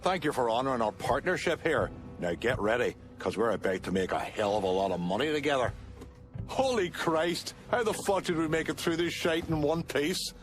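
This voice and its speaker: Actor as a gruff, wry Irish mobster. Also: male voice, mid-range voice, lively intonation, moderate pace, soft background music, close to an everyday voice. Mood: relieved, wry.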